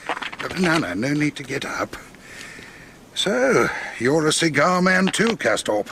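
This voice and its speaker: Bluff gravelly doctor. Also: male voice, on the high side, big pitch swings, slow, fairly bright tone, a little hiss or hum, full character voice. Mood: jovial. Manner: hearty, clipped, old-fashioned chumminess. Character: bluff, jovial middle-aged male doctor, hearty and slangy. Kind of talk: radio drama acting.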